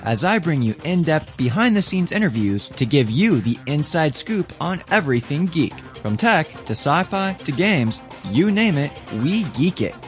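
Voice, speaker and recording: A man's voice: a little high, sing-song, normal speed, few pauses. A man reading a scripted podcast promo with punchy announcer delivery. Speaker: podcast host. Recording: guitar music bed behind voice. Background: guitar music.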